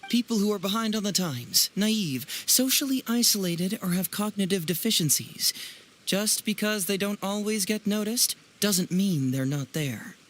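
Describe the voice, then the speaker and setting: An actor playing a clever, teasing young male detective and smooth presenter in a video game, speaking in a wry, theatrical way. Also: high voice, expressive, normal speed, fairly bright tone, slight background noise. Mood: earnest. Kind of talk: animated video game voice acting.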